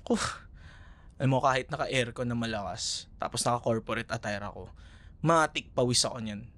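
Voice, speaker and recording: A man's voice: mid-range voice, normal speed, a bit bright, lightly acted. A man with a Filipino accent acting a friendly, teasing ex-boyfriend in an intimate, casual audio roleplay, sometimes hesitant in his phrasing. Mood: casual, flustered. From Philippines.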